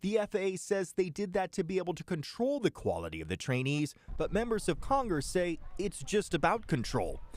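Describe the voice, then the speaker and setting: Animated higher-pitched American male news narrator. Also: no echo, studio quality. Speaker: investigative TV news reporter. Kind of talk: scripted investigative news narration. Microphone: close studio voice-over microphone.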